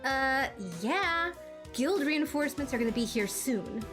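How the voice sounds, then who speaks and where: Woman acting a feisty high-voiced teenage girl fighter. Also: lively intonation, a little slow, a bit bright. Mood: defiant. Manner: feisty, sarcastic, defiant. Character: plucky, hot-headed teenage girl fighter. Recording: music behind the voice.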